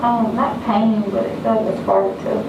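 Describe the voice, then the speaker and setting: A woman's voice: a little high, level tone, unhurried, gravelly, lo-fi sound. Distant female patient in a noisy, echoey room. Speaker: chiropractic patient. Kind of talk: short casual replies during treatment. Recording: distant mic, echoey room, loud noise.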